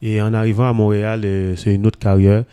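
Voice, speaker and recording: A man hosting a casual interview, asking slow, easygoing questions. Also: lowish voice, flat delivery, dark tone, smooth. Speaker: interviewer host.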